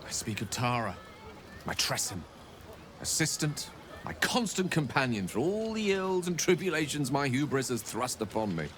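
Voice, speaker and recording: A man's voice: medium pitch, very animated, normal speed, noisy background, lightly acted. A man playing an erudite, wistful wizard in a video game, wordy, bookish, wry and self-deprecating. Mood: warm, wistful. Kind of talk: video game character dialogue. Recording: music behind the voice.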